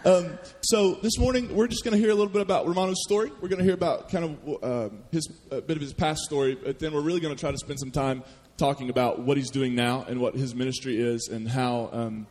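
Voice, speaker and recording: A man hosting an onstage interview before an audience, asking friendly and gentle questions. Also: medium pitch, fast, hardly any pauses. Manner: upbeat and friendly in the introduction, then soft and gentle with his questions. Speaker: host interviewer. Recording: reverberant hall.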